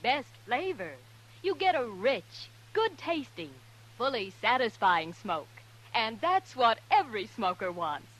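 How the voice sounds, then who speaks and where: A woman announcing a 1950s radio commercial in a deliberate, polished sales delivery. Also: a little high, big pitch swings, a bit bright, poor audio. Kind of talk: 1950s radio advertising pitch.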